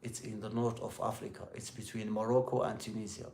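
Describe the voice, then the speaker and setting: A middle-aged man with an Algerian accent talks to live viewers in a low, creaky voice. His English is halting and circles back on itself as he shares casual motivational thoughts. The room has a noticeable echo around him. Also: flat delivery, a little mellow. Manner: rambling, repetitive, halting second-language English. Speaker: livestream host. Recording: roomy echo with faint background noise.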